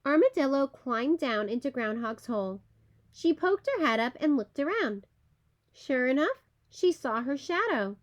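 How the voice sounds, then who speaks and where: A woman storyteller reading aloud for children. Her voice is high and expressive, with big swings in intonation and a slow, measured pace.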